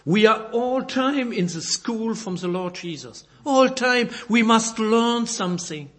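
A man's voice: sits high, expressive, measured pace, a bit bright. A Belgian man with a German-speaking accent preaching a sermon in careful, non-native English, often repeating and correcting his words as he reads scripture aloud.

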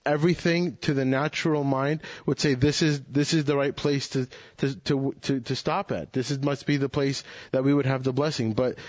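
A man's voice: mid-range voice, steady tone, moderate pace, few pauses, no echo, muffled recording. A man speaking earnestly and reflectively in a group Bible study discussion, often restarting his phrases.

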